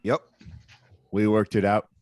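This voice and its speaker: A man playing a low-voiced, callous criminal. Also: little pitch movement, unhurried, darkish tone, dry recording, studio quality, lightly acted. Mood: callous, flippant. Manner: curt, callous. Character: crude, brutal male criminal henchman in a crime script. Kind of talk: screenplay table read.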